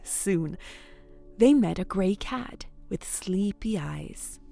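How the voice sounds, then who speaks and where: A woman hosting a bedtime story, guiding relaxation in a soft, soothing, often whispered voice. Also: medium pitch, expressive, slow pace, gravelly, no echo, faint music behind. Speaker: storyteller and host.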